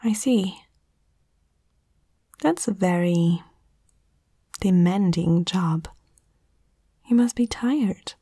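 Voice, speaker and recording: Breathy, whispering woman, soothing and slow. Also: lowish voice, mellow, dry recording, studio quality. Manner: soothing, tender, reassuring. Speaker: ASMR roleplay performer. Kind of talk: whispered ASMR comfort roleplay. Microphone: close microphone.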